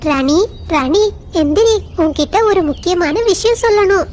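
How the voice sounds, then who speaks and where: A woman's voice: extremely high pitch, lively intonation, moderate pace, darkish tone, a little hiss or hum, voice pushed up. A woman voicing a small, hardworking young sparrow in a moral cartoon, with an earnest, chirpy manner. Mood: urgent.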